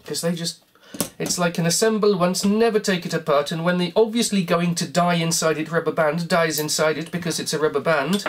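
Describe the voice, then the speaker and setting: A croaky voice muddles through a repair job, mocking itself in a loose, rambling running commentary. The pitch leaps up and down all the time, and there is a faint hiss underneath. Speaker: hobbyist repair vlogger. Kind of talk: thinking aloud while taking apart a cassette player.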